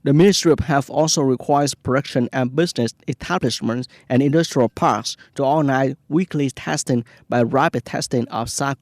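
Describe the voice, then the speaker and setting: A man's voice: mid-range voice, darkish tone, no room sound, clean studio sound. A man with a Vietnamese accent, a native Vietnamese speaker, presenting scripted news in English.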